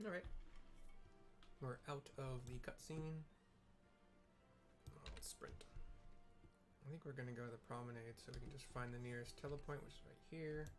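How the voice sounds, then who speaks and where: Casting: relaxed male gamer narrating his playthrough, offhand, mid-pitched. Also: normal speed, long pauses, over music. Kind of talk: casual commentary over gameplay.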